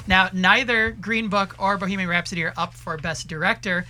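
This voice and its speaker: Man, bright forceful voice, effusive, film critic, animated panel talk. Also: sits high, normal speed, slight background noise. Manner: enthusiastic, effusive. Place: radio studio.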